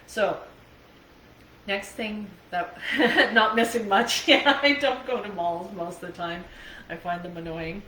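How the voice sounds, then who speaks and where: A woman's voice: medium pitch, steady tone, slow pace, bright, forceful tone. A middle-aged Canadian woman chatting casually and digressively on a livestream, with a self-amused, rambling manner. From Ontario, Canada. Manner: chatty, laughs readily at herself, digressive. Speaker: host, trauma coach livestreamer. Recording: echoey room with faint background noise.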